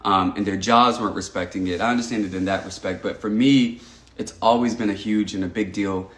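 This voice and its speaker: A man's voice: fairly low pitch, fairly even intonation, brisk, fairly bright tone. A young man from Texas talking earnestly on a casual livestream, reading out and answering viewer comments with frequent "um"s and restarts. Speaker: livestream host. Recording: echoey room.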